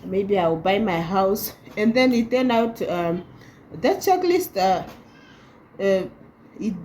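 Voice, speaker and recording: A woman's voice: mid-range voice, animated, dark tone, a little hiss or hum. A thirty-year-old woman vlogging at home, talking casually with many restarts. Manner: halting, with many restarts and laughs. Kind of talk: casual talk to camera.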